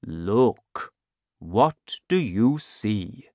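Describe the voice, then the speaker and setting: A man voicing a huge, gentle giant in a storybook reading, speaking slowly and loudly. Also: very animated, no echo, clean studio sound, full character voice. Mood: booming. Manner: slow, booming, deliberate.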